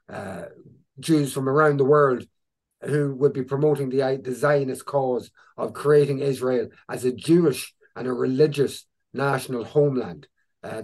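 A man's voice: mid-range voice, darkish tone. A middle-aged man with an Irish accent, co-hosting a video call and giving opinionated commentary on news clips, with frequent restarts and fillers. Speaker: co-host presenting news clips. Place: indoor room with a virtual backdrop. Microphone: video call webcam.

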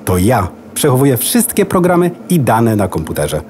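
A man's voice: medium pitch, huge pitch swings, normal speed, darkish tone, slight background noise. A man playing a proud, chatty know-it-all computer hard drive in a children's radio play. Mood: proud, welcoming. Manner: pedantic, boastful, goes off on tangents.

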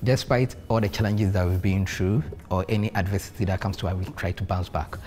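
Young man, low voice. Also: a little fast, a little mellow, slight background noise. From Ghana. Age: young adult. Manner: earnest, speaks with pride. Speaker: panel guest. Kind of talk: casual group conversation. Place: bright studio with plants, indoors.

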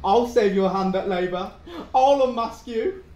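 A man's voice: high voice, unhurried, warm tone, lo-fi sound. A young man playing a gleefully vengeful brother in a Jacobean audio drama, with theatrical, gloating delivery. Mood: mock courtly. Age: young adult. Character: gleefully vengeful brother, mocking, brandishing a poisoned skull. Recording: roomy, echoing home recording.

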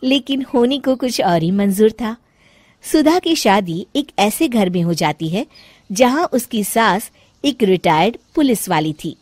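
A woman's voice: medium pitch, animated, unhurried, darkish tone, slight background noise. A woman storyteller reading scripted narration for a cartoon tale.

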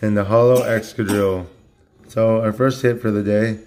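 Hoarse man with a flat, halting voice. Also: on the low side. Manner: halting, many fillers and restarts, monotone. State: losing his voice from illness. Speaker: card-opening channel host. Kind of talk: casual unboxing talk. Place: home room at a table.

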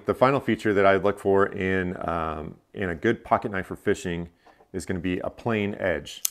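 A man in his thirties casually reviewing gear in a living room, explaining step by step with frequent fillers. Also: low-pitched. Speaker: fishing channel host. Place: living room, indoors, seated on a couch.

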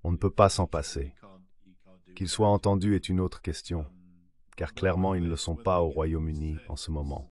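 A man's voice: sits low, steady tone, moderate pace, a little mellow. A man's synthetic voice-over reading an interview guest's translated answers in a scripted way.

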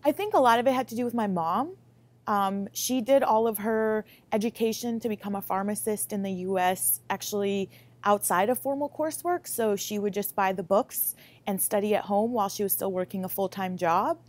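A young woman in her late twenties with an American accent speaks at a medium pitch. She answers interview questions as a student researcher, explaining things clearly and articulately. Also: normal speed. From Lithuania, moved to the US Midwest at about eight. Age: late 20s. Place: laboratory, indoors. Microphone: lapel mic.

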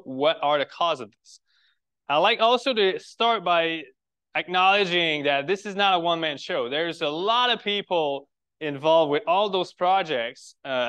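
A man's voice: on the high side, a bit bright, clear, resonant tone. A man in his forties from Quebec with a French-Canadian accent, giving a friendly lecture to a birding club with rhetorical questions and restarted phrases. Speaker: biologist giving a public talk. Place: lecture room.

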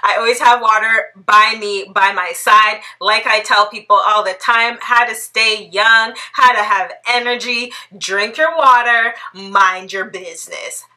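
A woman's voice: on the high side, fairly even intonation, unhurried, few pauses, bright, forceful tone, low-quality recording. A woman in her thirties from Toronto with a Canadian accent, chatting playfully to camera in a casual Q&A and laughing often. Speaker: vlogger. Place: bright living room indoors.

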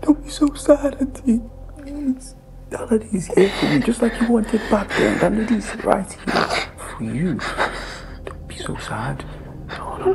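A gloating, mocking villain. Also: female voice, deep voice, poor audio. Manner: mocking, sing-song, swings to whispers. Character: cruel vengeful woman taunting a captive aunt. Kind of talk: film drama acting.

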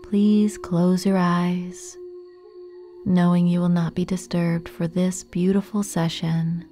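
A woman narrates a guided meditation very slowly in a dark, relaxed voice. Her delivery is gentle and soothing, with long pauses between phrases. Faint music plays behind her. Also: on the low side.

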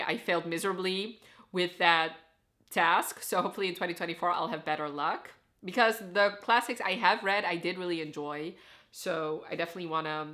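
Chatty young Dutch woman, bright voice. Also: fairly low pitch. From Netherlands. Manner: chatty, enthusiastic, says "like" often. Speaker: book vlogger. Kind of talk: casual talk to camera. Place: living room with full bookshelves, indoors.

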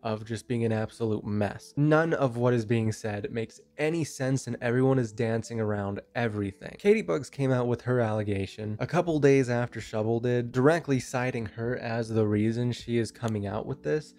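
A man's voice: medium pitch, moderate pace, hardly any pauses, darkish tone. A young man in his twenties with a nasal voice from a cold, giving blunt, casual commentary into a handheld mic in a small bedroom. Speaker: commentary YouTuber. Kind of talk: drama commentary. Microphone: handheld microphone.

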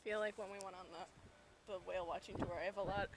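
Young woman, casual vlogger chat, mid-pitched, on windy, very noisy outdoor camera audio. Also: steady tone, fast talker. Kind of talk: casual chat while filming. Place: outdoors on a sailboat deck. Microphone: handheld camera microphone.

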